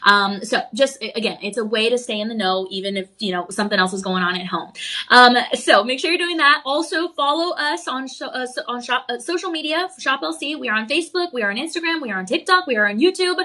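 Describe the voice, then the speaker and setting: A woman hosting a live home shopping show, bubbly and gushing, her voice slightly raspy from a cough. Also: on the high side, fast talker, sharp tone. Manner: bubbly and effusive, gushing over the product. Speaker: shopping channel host.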